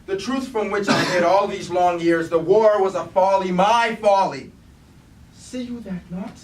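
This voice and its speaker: A man's voice: high-pitched, unhurried. A man playing a guilt-ridden robot war leader in a staged tragedy, speaking in solemn, formal, archaic declamation. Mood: anguished. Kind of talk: staged reading of mock Greek tragedy. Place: indoor performance space, recorded from afar. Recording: echoey stage room, distant mic, faint noise.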